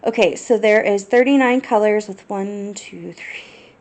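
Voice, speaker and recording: A woman hosting a casual crafting vlog, stuffy and sniffly from a cold, talking in an unhurried way with plenty of ums and restarts. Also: mid-range voice, darkish tone, echoey room. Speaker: crafting channel host. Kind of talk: casual show-and-tell vlog.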